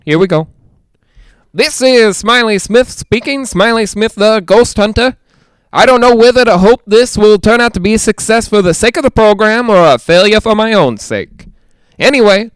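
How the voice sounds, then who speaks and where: A man's voice: sits high, expressive, normal speed, smooth, no room sound, poor audio. A man playing a chatty, skeptical radio ghost hunter in a 1940s-style audio drama, with folksy joking patter. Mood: chatty showman.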